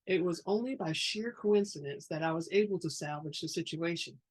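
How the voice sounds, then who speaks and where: A woman reader puts on a storybook wizard, an old man of courtly manners and dry wit. The voice is slightly husky and croaky, with formal, gracious phrasing. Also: fairly low pitch, a little fast. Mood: quietly concerned.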